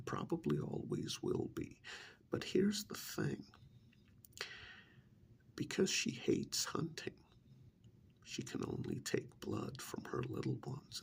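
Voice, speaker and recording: A man playing a chatty, gently scolding vampire envoy in a soft-spoken ASMR role-play, with a breathy whisper and deliberate pauses. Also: on the low side, full character voice. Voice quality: breathy whisper, gravelly fry. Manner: slow, deliberate, drawn-out pauses, conversational.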